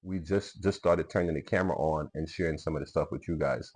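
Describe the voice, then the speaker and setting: A man's voice: very deep voice, brisk, darkish tone, husky. A man co-hosting a casual chat, giving easygoing advice and speaking straight to his viewers. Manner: easygoing, agreeable, addresses viewers directly. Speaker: co-host of a business channel.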